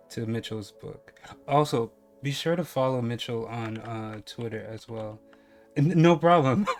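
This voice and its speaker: A young man from New York with a slight Caribbean accent, chatting casually on a live stream with lots of fillers. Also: medium pitch. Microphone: headset.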